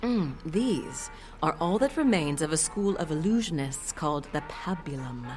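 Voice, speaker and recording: A woman's voice: low voice, lively intonation, moderate pace, darkish tone, faint music behind. A woman playing a playful, sultry half-demon shopkeeper in an audio drama, selling with coy, theatrical flourishes. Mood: chatty, storytelling. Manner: coy, theatrical saleswoman with chuckles and purring flourishes.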